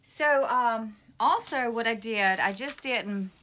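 Middle-aged woman, plainspoken and self-correcting, crisp bright voice, phone at arm's length in a small room. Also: a little high, takes it slowly. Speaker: homesteading vlogger. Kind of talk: casual DIY walkthrough. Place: small indoor pantry room. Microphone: phone held at arm's length.

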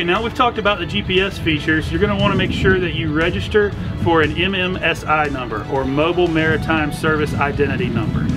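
A middle-aged man presenting an instructional explainer to camera, talking through things step by step. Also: high voice. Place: outdoors on a docked boat at a marina. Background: background music.

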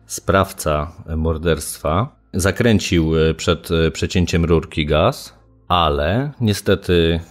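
Very deep-voiced man, halting explainer. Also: soft background music. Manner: halting, hesitant, with many filled pauses. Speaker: interviewed commentator on a criminal case. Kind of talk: unscripted explanatory interview talk.

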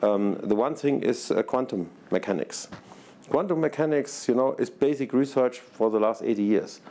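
An older man in his sixties with a German accent speaks earnestly on a conference panel, often repeating words as he hunts for the right phrase. His tone is mellow and dark. He speaks into a lapel mic. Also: medium pitch, moderate pace. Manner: earnest, repetitive, searching for words. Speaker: research institute president, panelist. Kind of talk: panel discussion remarks. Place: large conference stage before an audience.

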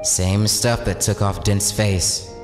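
An actor playing a bold, idealistic young vigilante in an audio drama, casual, teasing and earnest. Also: male voice, fairly low pitch, steady tone, slow. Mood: taunting. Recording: echoey reverberant hall, music underneath.

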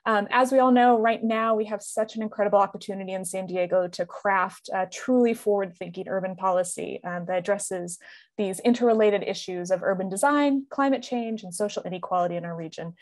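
A woman's voice: mid-range voice, a little fast, dry recording. A woman in her thirties on a headset, enthusiastically introducing a guest speaker with many fillers. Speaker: event host introducing guest. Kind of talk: introduction at an online event. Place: indoor room.

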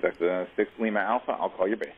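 Male tower controller, lowish voice, rattles off instructions over radio. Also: fairly even intonation, quick pace. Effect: aviation radio. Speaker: air traffic controller. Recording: tinny aviation radio, faint static.

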